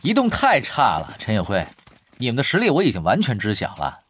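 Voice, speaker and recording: A man's voice: medium pitch, wildly swooping pitch, fairly quick, slight background noise. A male actor playing a confident, casually teasing gamer tactician in a Chinese radio drama, explaining things in an offhand way. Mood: taunting. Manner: offhand, self-assured, explanatory. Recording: background music under the voice.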